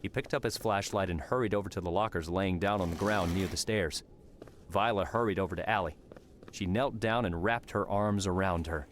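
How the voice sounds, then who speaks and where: A man's voice: deep voice, brisk. A man narrating a scripted fantasy story as an audiobook narrator. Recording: clearly noisy background.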